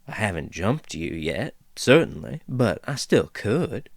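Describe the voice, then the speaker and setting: A man's voice: on the low side, big pitch swings, unhurried, gravelly, no room sound, studio quality, heavily acted. A man voicing a chatty female dwarf who rambles in a folksy drawl, in a storybook reading. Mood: drawling, amused. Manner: meandering, drawling, folksy turns of phrase.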